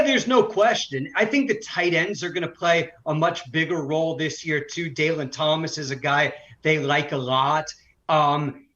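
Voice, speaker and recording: A man's voice: a little high, a bit bright. A man giving conversational sports analysis as an interview guest, a broadcaster who makes his points emphatically in runs. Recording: slightly dull, lo-fi audio.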